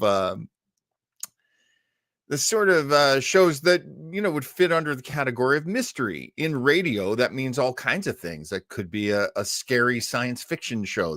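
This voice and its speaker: A man's voice: medium pitch, a bit bright, no echo. A man hosting a casual livestream about old time radio, chatting in a rambling, jokey, self-deprecating way on a close microphone. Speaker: host of an old time radio show program.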